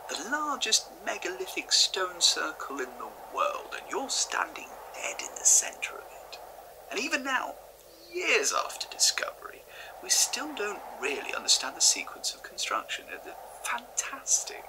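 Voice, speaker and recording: A lively stammering professor. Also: male voice, sits high, unhurried, whispered, full character voice, poor audio. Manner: hesitant, stammering, enthusiastic. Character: eager male archaeology professor, chatty. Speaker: historian.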